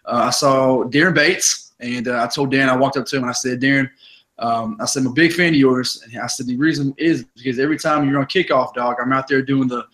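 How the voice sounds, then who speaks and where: Raspy young man hosting a podcast. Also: mid-range voice, fairly quick. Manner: energetic, chatty storytelling with many fillers. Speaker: podcast host. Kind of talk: casual sports podcast chat.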